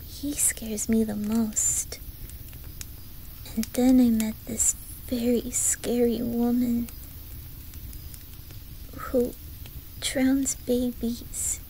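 Lady doing a shy little swedish boy, asmr roleplay, really slow and whispery, faint ambient noise behind. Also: fairly high pitch, flat delivery, poor audio. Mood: haunted, uneasy. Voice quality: soft, whispery. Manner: hesitant, halting, gentle. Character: shy, traumatized young Swedish boy from a fairy tale game.

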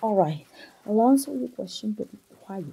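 A woman acting out a young woman talking intimately to her oldest friend in an ASMR roleplay. Her voice is very dark and soft, breathy and husky, with a gravelly fry at the edges. She confides gently and closely. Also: mid-range voice, lively intonation, moderate pace, exaggerated voice, poor audio. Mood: defensive, coaxing. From Mexico. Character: engaged young woman, teasing longtime friend confiding troubles.

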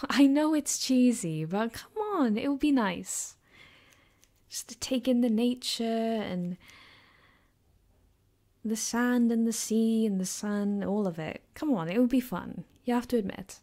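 A woman playing a caring, affectionate girlfriend in an ASMR comfort roleplay, speaking softly and gently with hesitant, reassuring warmth. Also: on the high side, slow pace. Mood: playful, relaxed. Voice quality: soft, gentle, sometimes dropping to a whisper.

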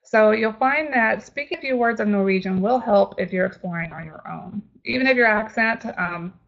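A woman's voice: mid-range voice, normal speed, creaky, fairly bright tone, highs cut off. A woman with a Texas accent, a travel agent giving a friendly, chatty webinar presentation with frequent ums and restarts.

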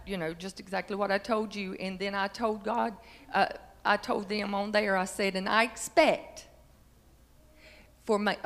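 Woman in her 50s giving church testimony, bit raspy, halting but earnest, handheld mic, echoey big hall. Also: mid-range voice. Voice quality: slightly raspy. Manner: earnest, halting, emphatic on key phrases. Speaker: church member giving testimony before singing. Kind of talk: personal faith testimony to a congregation. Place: large church sanctuary. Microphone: handheld microphone. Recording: reverberant church hall through handheld microphone.

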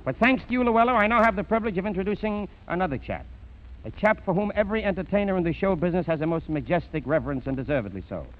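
A man's voice: sits high, expressive, racing. A man hosting a 1930s radio variety show, making florid, theatrical introductions. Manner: florid, theatrical showman's patter. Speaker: radio host. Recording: noisy, dull 1930s radio broadcast.

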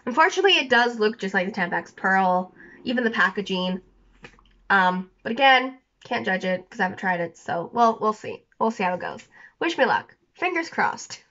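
Brief: young woman, sniffly and a little scratchy, bright higher voice, rambling bedroom vlog, dull lo-fi phone audio. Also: expressive, moderate pace. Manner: rambling, many fillers and restarts. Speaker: vlogger. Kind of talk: rambling casual chat to camera. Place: small bedroom indoors.

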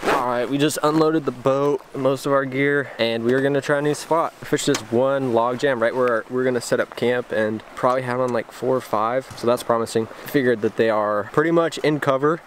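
A man's voice: medium pitch, moderate pace, hardly any pauses. A man in his twenties chatting casually in a laid-back, slangy way, vlogging outdoors into a handheld camera. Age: 20s. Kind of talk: casual outdoor vlog chat. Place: outdoors on a riverbank by a boat. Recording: clear outdoor background noise, boat and water.

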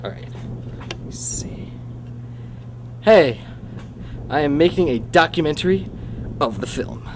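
A man playing a slow, curious crew member. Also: a little high, lively intonation, slight background noise. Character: nosy crew member filming a documentary.

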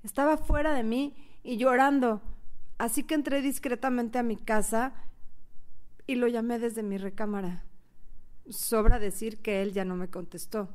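A woman's voice: on the high side, steady tone, unhurried, darkish tone, lightly acted. A woman playing a hurt, jealous young woman who narrates her own story earnestly in a radio novel. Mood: hurt, confessional. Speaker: first-person narrator.

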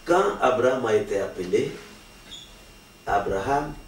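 Un pasteur d'âge mûr, originaire du Congo, qui explique la doctrine avec insistance et sérieux. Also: male voice, mid-range voice, expressive, unhurried. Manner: earnest, explanatory, emphatic. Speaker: pastor, guest. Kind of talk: sermon-like interview answers. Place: small studio with patterned wallpaper. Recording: roomy, distant, faint hiss.

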